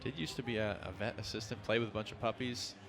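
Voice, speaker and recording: A man's voice: on the low side, steady tone, fast, creaky, a bit bright. A man co-casting a live esports match in an expo hall, with casual, wry asides. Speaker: esports caster.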